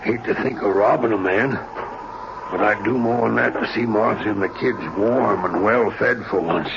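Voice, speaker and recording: Gravelly, desperate western homesteader. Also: male voice, lowish voice, moderate pace. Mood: grim resolve. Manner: blunt, embittered, rustic western talk. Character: desperate, proud, hard-pressed western family man turned robber. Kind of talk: 1940s radio western acting. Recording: muffled, noisy old radio recording.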